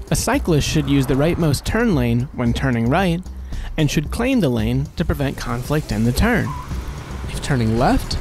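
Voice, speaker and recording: A man reading a scripted instructional safety narration in an even, instructive manner. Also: medium pitch. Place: voice-over over animation with background music.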